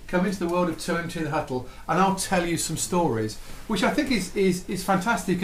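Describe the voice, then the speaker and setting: A man telling a history story with enthusiasm, tired and losing his voice. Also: high-pitched. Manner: warm, enthusiastic, with many restarts. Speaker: radio history presenter. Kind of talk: storytelling talk. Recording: echoey room with faint ambient noise.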